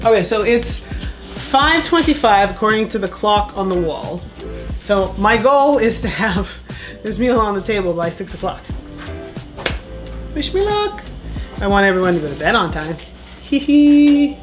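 A woman's voice: mid-range voice, sing-song, normal speed, pauses often. A woman in her thirties chatting casually while cooking dinner in a small home kitchen, upbeat and laughing often, recorded on the camera mic with music and clattering dishes behind her. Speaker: vlogger, mom cooking dinner. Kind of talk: casual cooking vlog chat. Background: music and clattering pots and dishes.

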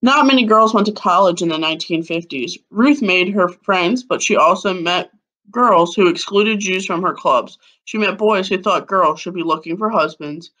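A woman in her thirties reading a children's picture book aloud like a schoolteacher, with deliberate phrasing. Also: lowish voice, fairly bright tone, rough recording. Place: living room at home, seated on a couch. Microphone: webcam or laptop mic at about arm's length.

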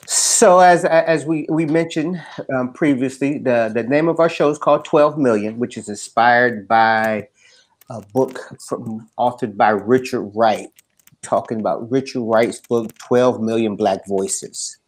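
A man in middle age hosts a podcast conversation. His voice is of average pitch, and he speaks in a casual, conversational style. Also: unhurried. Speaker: podcast host. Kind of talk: casual hosting. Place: indoor room, video call. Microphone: headphones and desk mic.

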